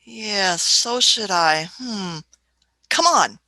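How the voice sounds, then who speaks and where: A woman's voice: mid-range voice, expressive, very slow, pushed and bright, no room sound, exaggerated voice, low-quality recording. A woman playing a fussy, curious old time traveller. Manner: muttering hmms, musing, hesitant restarts. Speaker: amateur radio play actor.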